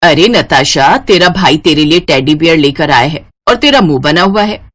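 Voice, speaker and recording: Woman doing a fond indian-drama style mom, middle-aged, approving, pitched down, animated. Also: normal speed. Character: fond middle-aged mother of grown children.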